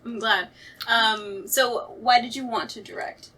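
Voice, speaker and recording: A woman in a casual chat as a writer and actor, talking in an animated, conversational way and often quoting her own thoughts. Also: fairly high pitch, a little slow. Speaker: writer and voice actor on a radio play talkback.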